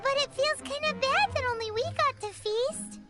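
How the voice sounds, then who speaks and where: Game fairy sidekick, tiny and chirpy, very high voice, over heavy music. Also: female voice, moderate pace. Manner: bubbly, excitable, talks of herself in third person. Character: tiny floating female fairy companion, chirpy. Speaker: game companion character. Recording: game audio under loud music.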